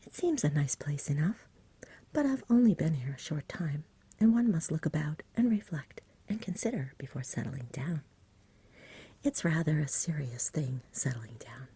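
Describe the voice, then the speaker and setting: A courteous storybook dragon voiced by a woman chats in a soft, very breathy whisper. He is fussy and genteel, eager for company, with a mellow tone suited to ASMR bedtime reading. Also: deep voice, lively intonation, normal speed, heavily acted. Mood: musing, confiding.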